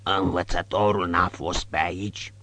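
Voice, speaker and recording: A pale, sinister thug who asks his questions in a hoarse, surly voice, as a male narrator puts him on. He sounds gruff and guarded. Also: low-pitched, level tone, a bit bright, no echo.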